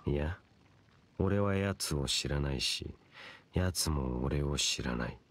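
A stoic fantasy game wanderer, with an extremely low voice. He speaks gravely and curtly, in measured, archaic phrasing. There is faint noise behind him. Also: animated, heavily acted. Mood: grave, cool. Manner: solemn, curt, archaic and measured. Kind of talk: Japanese anime game voice acting.

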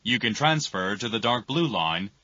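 A man reading scripted subway announcements in a formal, deliberate manner. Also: lowish voice, animated. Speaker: English train announcer. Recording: noisy, dull lo-fi train recording.

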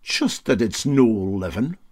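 A man's voice: on the low side, animated, takes it slowly, darkish tone, slightly rough, full character voice. A man voicing a wily, unruffled Scottish sea captain in a storybook, with a drawling, coolly sly manner.

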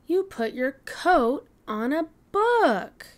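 A woman's voice: sits high, very animated, takes it slowly, pitched above the performer's own voice, exaggerated voice. A woman voicing a helpful young boy stegosaurus in a storybook, stressing key words as he corrects his friend. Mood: correcting.

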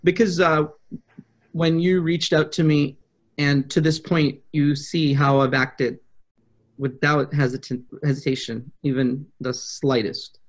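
A man in his thirties talks on a video call from a small office. His voice sits a bit high for a man. He picks his words deliberately and states them bluntly, in a conversational way. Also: flat delivery, rough recording. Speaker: prospective coaching client, public speaker. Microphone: video call, computer microphone.

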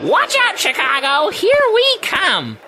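A man voicing a cheeky, excitable little talking dog with a whiny, exclaiming manner in an audio drama. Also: extremely high pitch, takes it slowly, slight background noise, voice pushed up, exaggerated voice. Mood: excited.

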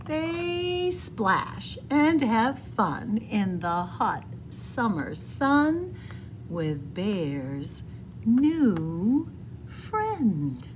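Animated woman reading to children. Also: fairly high pitch, slight background noise. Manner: animated, deliberate, sing-song. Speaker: librarian reading picture books aloud. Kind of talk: expressive children's read-aloud storytelling. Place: indoors, room with bookshelves.

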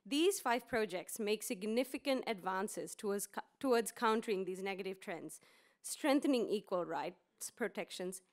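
A woman from Fiji in her thirties moderating a conference session from a podium, with frequent ums and small restarts. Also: medium pitch. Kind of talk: conference panel introduction. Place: conference room with seated audience. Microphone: podium mic.

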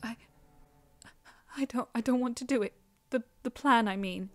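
A young she-dragon voiced by a woman speaks in a high, anxious voice in an audio drama. She is earnest and timid, hesitating as she pleads. Music plays behind her. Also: normal speed, a bit bright, close to an everyday voice. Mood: timid, hesitant.

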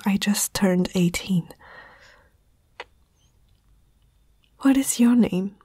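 A woman playing a proud, frightened young princess in a whispered fantasy ASMR roleplay, speaking formally and haltingly in a breathy voice. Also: mid-range voice, mellow, dry recording, clean studio sound, full character voice. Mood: wary, insistent. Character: proud, frightened eighteen-year-old princess confronting a night intruder. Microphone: close microphone, dry.

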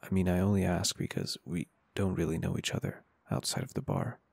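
A man's voice: sits low, very animated, a little fast, creaky, a little mellow, breathy edge, dry recording, heavily acted. A man playing a caring, flirty and confident business owner in an intimate ASMR roleplay, speaking in a reassuring, polite and earnest way. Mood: concerned, soothing.

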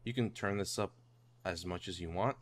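A man narrating a casual software tutorial in a hesitant, rambling way, repeating himself. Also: sits low, normal speed. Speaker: tutorial presenter. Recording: home mic with clear background noise.

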